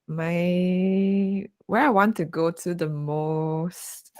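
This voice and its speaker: A woman from Malaysia with a Malaysian accent, introducing herself in a relaxed online chat. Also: on the low side, very slow, no echo, clean studio sound. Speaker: musician, participant. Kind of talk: casual online group chat.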